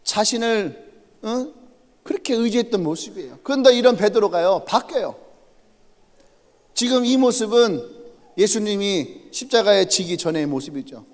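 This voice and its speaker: A male pastor with a Chungcheong accent preaching a lively, humorous sermon and putting questions to the congregation. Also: high-pitched, normal speed, long pauses. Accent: Chungcheong Korean. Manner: animated, humorous storytelling, asks the congregation questions. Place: church sanctuary. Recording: reverberant church sanctuary.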